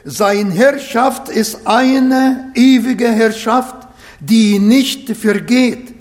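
Male preacher, high voice, german, emphatic scripture reading, grammar slips. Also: drawn-out words, in a large hall. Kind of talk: sermon.

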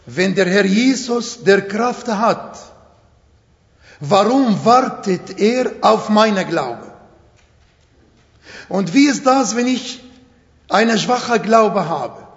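A middle-aged Arabic-accented preacher with a fairly high voice gives a slow, emphatic sermon in imperfect German. The sound is dull and carries a long hall reverb, as in a church hall. He is a native Arabic speaker. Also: lively intonation.

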